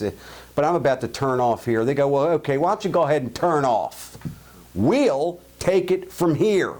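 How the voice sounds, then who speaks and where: A man's voice: medium pitch, darkish tone. A man with a Baltimore accent hosting his own show, giving blunt, jokey opinions and answering listener emails. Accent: Baltimore (Highlandtown). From Baltimore, USA. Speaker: show host. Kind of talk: opinion monologue and listener mail.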